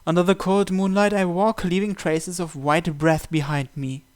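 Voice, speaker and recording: A man's voice: sits high, fairly even intonation, moderate pace, clean tone. A man narrating a visual novel in the first person, reading from the script.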